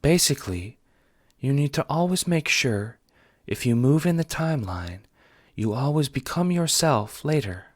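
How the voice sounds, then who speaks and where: A man's voice: medium pitch, animated. Man voicing a formal, blunt alien troll woman. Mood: patient, formal. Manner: formal, measured, few contractions. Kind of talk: audio drama dialogue.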